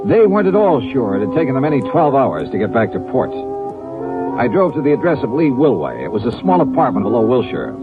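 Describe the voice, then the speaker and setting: An actor playing a wry, suspicious hard-boiled investigator, narrating and questioning in 1950s radio detective style. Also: male voice, medium pitch, huge pitch swings, fast. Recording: phone-like old radio, loud music.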